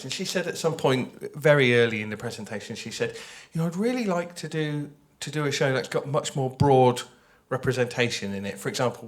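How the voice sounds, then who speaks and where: A man giving an informal panel presentation, conversational and hesitant, often correcting himself. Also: medium pitch. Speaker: panelist, audio drama creator. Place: festival panel before an audience.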